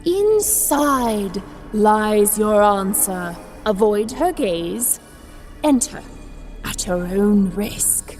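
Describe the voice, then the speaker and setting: A woman's voice: mid-range voice, very animated, very slow, a little mellow. A woman playing a serene, cryptic, all-knowing oracle in a fantasy audio drama, formal and portentous. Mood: cryptic, grave. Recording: long reverb, music behind.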